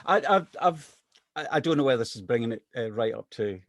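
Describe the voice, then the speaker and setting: A middle-aged man from Scotland tells a story in a chatty, self-deprecating way. His voice sits at an ordinary pitch and sounds close and dry, with no room around it. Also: animated, fairly quick, dry recording. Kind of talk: casual anecdote telling.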